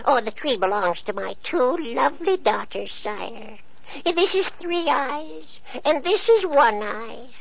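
A woman's voice: sits high, expressive, slow, creaky, breathy edge, lo-fi sound, heavily acted. An actress playing a scheming, bossy mother in an old radio play, bossing her daughters about. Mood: fawning. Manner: bossy, fawning to royalty. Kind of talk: 1940s radio acting.

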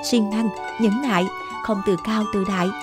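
A woman's voice: mid-range voice, steady tone, moderate pace. A woman giving scripted storybook narration for a children's fable. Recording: music behind the voice. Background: background music.

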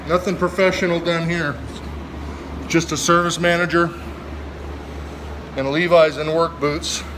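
A man's voice: fairly high pitch, little pitch movement, a little slow, background noise. A middle-aged man, an HVAC service manager, walks through an old machine room and talks casually and admiringly about the equipment into a handheld phone. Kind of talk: casual walkthrough narration. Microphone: phone camera held in hand.

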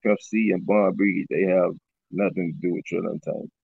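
A middle-aged rapper from Baton Rouge, talking loosely in an interview over a video call. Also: male voice, sits low, steady tone, fairly quick, no echo. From Baton Rouge, Louisiana. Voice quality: raspy. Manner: loose, repeats "you know what I'm saying". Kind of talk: casual interview chat. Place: small room indoors. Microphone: webcam on a video call. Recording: dull lo-fi video call audio.

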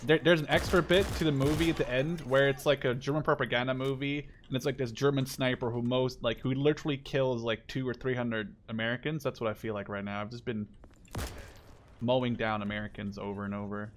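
A man in his twenties from the Netherlands, chatting casually and joking with a friend while playing a shooter game, with sudden excited shouts. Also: mid-range voice, a little fast, a little hiss or hum. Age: 20s. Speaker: gaming channel host. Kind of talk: casual gameplay chat with a friend.

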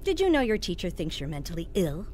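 A woman's voice: fairly low pitch, big pitch swings, fast talker, a little mellow, a little hiss or hum. A woman playing a cruel, angry mother in an audio drama, scolding and belittling with heavy sarcasm. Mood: mocking, sarcastic.

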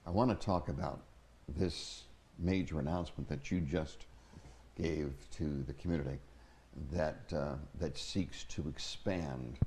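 An older man hosting a talk show, asking measured interview questions with emphatic rises in an ornate living room. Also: rumbling low, darkish tone, slight background noise.

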